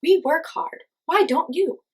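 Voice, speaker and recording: A woman's voice: high voice, sing-song, measured pace, darkish tone, voice pushed up, exaggerated voice. A woman voicing stingy, scornful animal villagers in a children's story, scolding and mocking.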